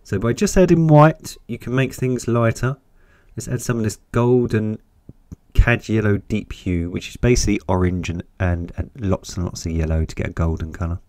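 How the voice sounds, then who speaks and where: Man, croaky from a cold, chatty painting teacher, dry close sound. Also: normal speed, darkish tone, dry recording. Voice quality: croaky, deep. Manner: chatty, encouraging, thinks aloud while painting. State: hoarse with a cold. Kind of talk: step-by-step painting tutorial.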